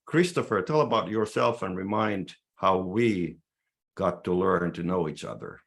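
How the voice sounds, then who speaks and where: A man in his sixties speaking with a Finnish accent, his voice sitting very low. He hosts a relaxed podcast chat, feeling his way through sentences and often restarting halfway through a phrase. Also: unhurried, creaky. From Finland. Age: 60s. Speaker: podcast host.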